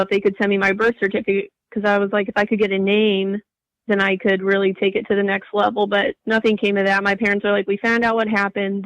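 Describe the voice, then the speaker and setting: A woman's voice: mid-range voice, dry recording, lo-fi sound. A young adult woman telling her personal story casually as an interview guest.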